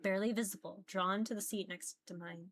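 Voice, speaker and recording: A woman's voice: mid-range voice, fairly even intonation, brisk. A woman streamer reading a visual novel aloud in a casual live style, often stumbling over words and teasing herself.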